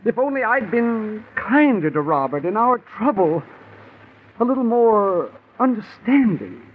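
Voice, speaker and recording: An actor playing a scheming stepbrother who gives halting, earnest testimony in 1950s American radio style. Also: male voice, high voice, very animated. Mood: feigned reluctant sorrow. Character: scheming stepbrother feigning reluctant, sorrowful honesty on witness stand. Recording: noisy, tinny 1950s radio recording.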